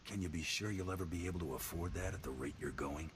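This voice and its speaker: An actor playing a cool, tough hired gun in a video game, with a dry, sardonic way of talking. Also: male voice, low-pitched, fast talker, background noise, natural voice. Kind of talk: video game dialogue acting.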